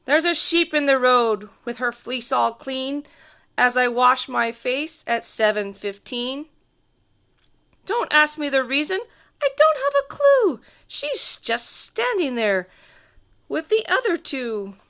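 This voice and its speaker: A woman reading a rhyming picture book aloud to children in a theatrical, sing-song way with drawn-out emphasis. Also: high-pitched, big pitch swings, a bit bright. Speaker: storybook reader.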